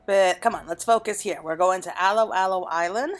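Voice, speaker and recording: A woman's voice: mid-range voice, brisk. Chatty woman narrating her video game playthrough. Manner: chatty, musing aloud to the audience. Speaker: game streamer, host of a let's play. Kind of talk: casual let's-play commentary with read-aloud dialogue. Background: game music.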